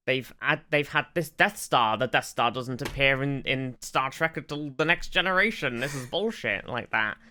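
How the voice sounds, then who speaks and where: A man's voice: mid-range voice, pushed and bright, clear, resonant tone. A man co-hosting a podcast, chatting casually about sci-fi television in rambling explanations with many restarts. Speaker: podcast co-host.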